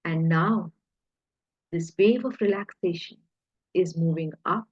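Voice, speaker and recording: A husky, breathy woman speaks very slowly as she guides a hypnosis session. Her delivery is soothing and deliberate, broken into short phrases with pauses. The sound is echoey and slightly muffled. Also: fairly low pitch. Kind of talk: guided hypnosis relaxation narration.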